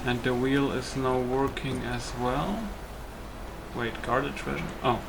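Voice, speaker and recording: A man's voice: medium pitch, fairly even intonation, takes it slowly, noisy background. A man giving casual let's play commentary as a gaming host, thinking aloud in a hesitant way. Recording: loud music behind the voice. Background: music.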